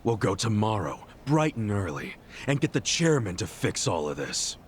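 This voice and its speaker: A brash, deep-voiced yakuza hero. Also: male voice, very animated, moderate pace, a little hiss or hum. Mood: taunting, stern. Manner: taunting, brash, emphatic. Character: bold hot-headed loyal yakuza hero leading his crew. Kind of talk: dramatic English video game dub acting.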